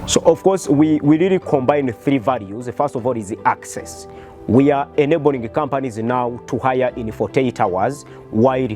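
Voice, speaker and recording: A man's voice: mid-range voice, darkish tone. A man with a Rwandan accent speaking as a company founder, explaining his business in an earnest interview style. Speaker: startup founder and CEO.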